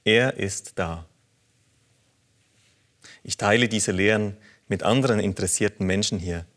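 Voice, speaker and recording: A man with a fairly low voice reads listener letters on air. His delivery is measured and earnest, in a steady scripted style. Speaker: presenter reading listener letters. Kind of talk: calm scripted reading of letters.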